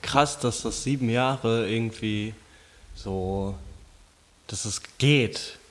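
Ein Mann, der als Interviewer locker und umgangssprachlich neugierige Fragen stellt. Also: male voice, on the low side, takes it slowly. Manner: casual, slangy, eager questions. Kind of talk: casual interview chat.

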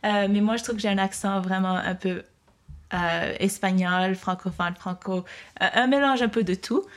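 A woman's voice: mid-range voice, moderate pace. A young woman from Mexico, chatting easily and laughing as she talks about growing up. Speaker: interviewee.

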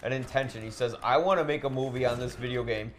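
Male podcast host, mid-pitched, opinionated and conversational, gaming as he talks, noisy recording. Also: quick pace. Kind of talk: casual chat while playing a video game. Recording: clearly noisy room recording.

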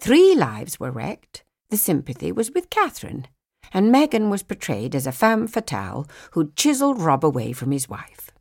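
A woman narrating a novel as a scripted audiobook, with an expressive, measured storytelling manner. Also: low-pitched.

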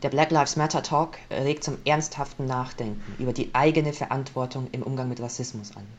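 A man reading out a prepared laudation as a jury member, in a measured, formal style. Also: medium pitch, steady tone, muffled recording, a little hiss or hum. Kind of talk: scripted speech, measured reading.

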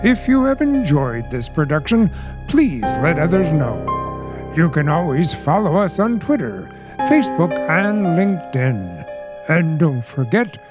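A man's voice: fairly high pitch, very animated, measured pace. A man reading a scripted closing announcement as a radio theater announcer. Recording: music behind the voice. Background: music.

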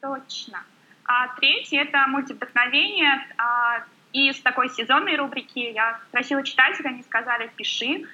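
A woman talks fast and freely as an interview guest, her voice very bright and sharp with a slightly raised pitch. She fills pauses with little hesitation sounds. The audio is dull and lo-fi, like a remote call. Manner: fast, chatty, many filler sounds. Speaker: guest blogger. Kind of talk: casual interview chat.

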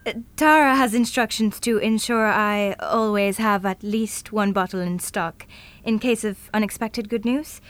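A woman playing a self-assured, brisk professional futurist in an audio drama, speaking matter-of-factly. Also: medium pitch, a little hiss or hum, close to an everyday voice. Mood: fussy.

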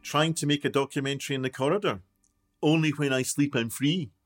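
A man's voice: a little high, fairly even intonation, a little fast, soft background music, natural voice. A man in a radio play acting a self-important, impatient documentary maker with a brusque, pompous manner. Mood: exasperated.

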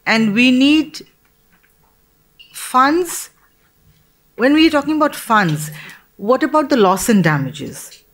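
A woman from Bangladesh speaking as a panelist in a discussion, earnest and measured, with pauses and restarts. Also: high voice, animated, a bit bright.

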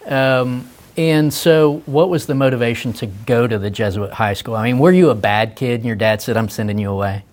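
Male interview host, mid-range voice; easygoing, quick to laugh, follows up on answers. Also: mid-range voice, a little mellow. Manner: easygoing, laughs often, asks follow-up questions. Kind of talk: casual interview conversation.

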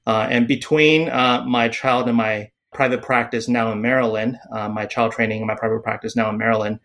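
A man in his forties from Taiwan, a psychiatrist speaking as a podcast guest, pausing often with fillers and restarts. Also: mid-range voice, flat delivery, a bit bright. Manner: hesitant, many fillers and restarts. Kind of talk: podcast interview.

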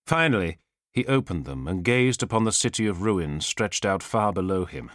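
A man narrates a thriller audiobook in a deep voice that moves widely in pitch. His delivery is measured and solemn, building suspense with weighted pauses. The sound is dry and close, with no room around it. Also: animated, a bit bright, no room sound.

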